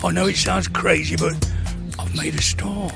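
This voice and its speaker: An actor playing an unhinged, desperate survivor in an audio drama, with a rambling, repetitive delivery. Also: male voice, fairly high pitch, fairly even intonation, normal speed, gravelly. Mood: manic pleading. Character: unhinged, pleading, threatening male zombie-apocalypse survivor. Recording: music under the dramatized voice.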